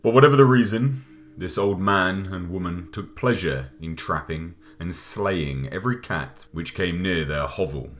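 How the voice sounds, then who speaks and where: Very deep-voiced man narrating a gothic tale. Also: fairly bright tone. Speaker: audiobook narrator. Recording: music behind the voice. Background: background music.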